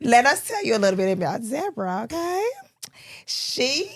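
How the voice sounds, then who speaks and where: A woman with a slightly high voice hosting a relaxed podcast. She talks in an easygoing, chatty way and laughs often. When she reads introductions from notes she stumbles and restarts. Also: normal speed, husky. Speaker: podcast host. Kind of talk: casual chat.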